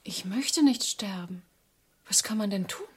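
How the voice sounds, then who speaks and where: An actress playing a fearful, tender wife in a German radio drama, pleading softly in a hushed, breathy voice. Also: female voice, mid-range voice, animated, unhurried. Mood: despairing. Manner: soft, anxious pleading.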